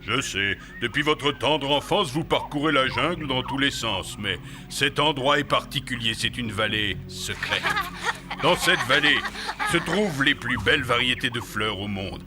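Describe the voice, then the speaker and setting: A man's voice: medium pitch, close to an everyday voice. A man as a kindly, wise cartoon mentor. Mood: inviting. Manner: benevolent, measured, theatrical. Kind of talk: cartoon dub acting. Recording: music under the dialogue.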